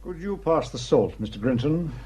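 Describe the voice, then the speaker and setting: A man's voice: a little high, animated, normal speed, noisy background, natural voice. A man acting a courteous, inquisitive police superintendent in a radio drama. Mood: courteous, curious.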